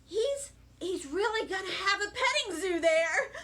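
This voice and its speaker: A woman voicing an excited, boastful little girl hollering with enthusiasm in a children's storybook reading. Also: extremely high pitch, measured pace, voice pushed up, heavily acted. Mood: gleeful boasting. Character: excited little kindergarten girls, boastful playground friends. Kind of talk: children's storybook read aloud.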